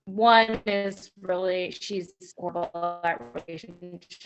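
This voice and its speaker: A woman's voice: fairly low pitch, fairly even intonation, slow, fairly bright tone, faint music behind. A woman speaking casually and hesitantly on a video call, rambling as she introduces herself. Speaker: background actress and writer. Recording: dull, lo-fi video-call audio.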